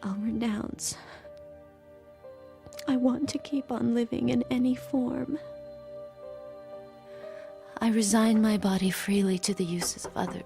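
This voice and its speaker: An actress playing an anxious, guarded captive handmaid in an audio drama, in dialogue and intimate inner monologue. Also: female voice, a little high, slow pace, creaky, long pauses, warm tone, faint music behind. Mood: desperate pleading.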